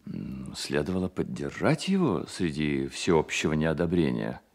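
An actor playing an earnest, loyal young baron poet, speaking in a measured Soviet radio drama style. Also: male voice, extremely low pitch, very animated, creaky, exaggerated voice. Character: earnest, loyal baron poet Delvig, man in his twenties.

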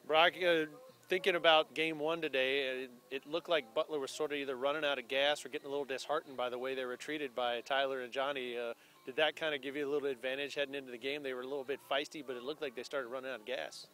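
A man's voice: mid-range voice, fairly even intonation, fast talker, pushed and bright. A man interviewing two college baseball players after a game, asking rambling questions with restarts, outdoors beside the field. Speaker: postgame interviewer. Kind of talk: postgame interview.

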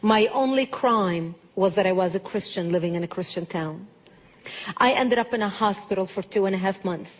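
A woman's voice: mid-range voice, normal speed. A middle-aged woman with a Lebanese accent delivering an emphatic prepared speech with rhetorical repetition. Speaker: public speaker. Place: large auditorium stage at a lectern.

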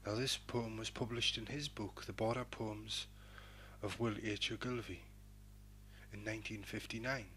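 A man hosting a poetry programme and reading verse in a measured, dramatic, declamatory manner with a husky voice. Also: on the low side, steady tone, sharp tone, slight background noise, low-quality recording. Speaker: poetry programme host and reader.

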